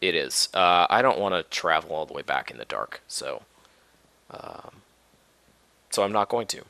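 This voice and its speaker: A deep-voiced man, chatting in a loose, meandering way as he plays. His voice sits low but has a bright, forward edge. He is coming down with a cold, and the recording is close and dry. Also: animated, moderate pace, vocal fry, no room sound. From Minneapolis-Saint Paul area, US. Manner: laid-back and rambling, many ums and restarts. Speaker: Minecraft let's play host. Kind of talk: casual gameplay commentary.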